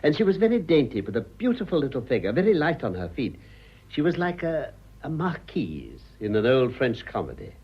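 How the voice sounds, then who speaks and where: Casting: urbane older British husband, wry and avuncular, breathy voice with hugely varied intonation, radio stage comedy, lo-fi recording. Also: male voice, medium pitch, wildly swooping pitch, brisk. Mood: wistful, wry. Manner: dry, ironic, avuncular. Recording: slightly dull, lo-fi audio.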